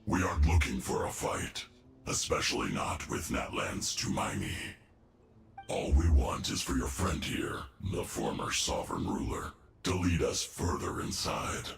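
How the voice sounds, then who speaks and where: An actor playing a smug, menacing male villain agent in a game, with a gravelly, mocking manner. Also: a bass voice, very animated, a little slow, full character voice. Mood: cool. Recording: roomy echo, game music behind.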